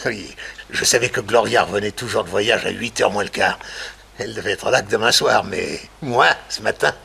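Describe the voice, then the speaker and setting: An older man playing a mad, vengeful murderer who gloats theatrically, with a gravelly, husky voice, in a 1960s French radio crime drama. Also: lowish voice, normal speed, bright, forceful tone, a little hiss or hum, exaggerated voice. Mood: manic triumph.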